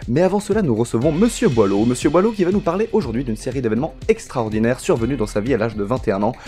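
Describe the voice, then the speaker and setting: A man's voice: medium pitch, animated, rapid-fire, dark tone, soft background music. A man hosting a parody news show, delivering scripted comedy with mock-pompous announcer gravity.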